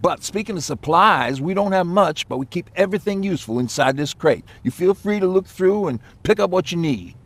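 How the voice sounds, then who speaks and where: A man playing a cautious, practical repairman survivor in a video game, with a gravelly voice. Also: high-pitched, animated, a little fast, few pauses. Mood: wary, practical.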